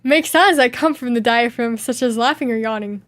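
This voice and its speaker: A woman's voice: fairly high pitch, moderate pace, no room sound, clean studio sound. A woman streamer chatting casually, reading viewer questions aloud and reacting playfully with frequent giggles. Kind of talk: casual live Q&A chat.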